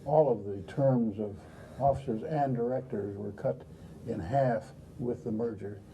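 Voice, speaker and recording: A man's voice: unhurried, mellow, slight background noise, poor audio. An older man from Oklahoma recalling past events as a panelist, unscripted. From Oklahoma, USA. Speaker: panelist, former society president. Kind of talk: unscripted recollection. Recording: rumbly 1990s video recording.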